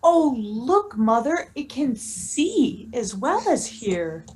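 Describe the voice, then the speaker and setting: A woman's voice: on the high side, sing-song, slow pace, darkish tone, slight background noise. A woman playing a timid young girl who pleads with her mother in an amateur stage play reading. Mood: excited wonder.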